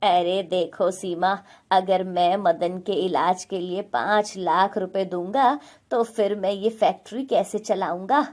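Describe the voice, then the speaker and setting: Woman as middle-aged male boss, lowered pitch, mellow, dismissive, storybook character. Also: fairly even intonation, slow, close to an everyday voice.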